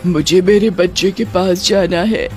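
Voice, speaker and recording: A woman's voice: on the low side, a little slow, darkish tone, soft background music, lightly acted. A woman voicing an earnest, pleading young witch mother who longs for her child, in a storybook tale. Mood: longing.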